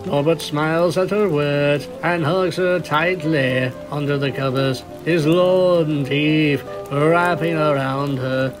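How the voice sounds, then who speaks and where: A man's voice: a little high, steady tone, slow pace, hardly any pauses, over music. A man narrating a cartoon fan fiction romance, reading it out like a story. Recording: faint noise behind the voice.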